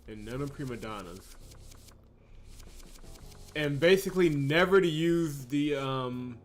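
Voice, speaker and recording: A man's voice: mid-range voice, lively intonation, slow, faint music behind. A man hosting a video game playthrough, talking casually in an exasperated, grumbling manner. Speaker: host of a visual novel playthrough channel. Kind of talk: casual gameplay commentary.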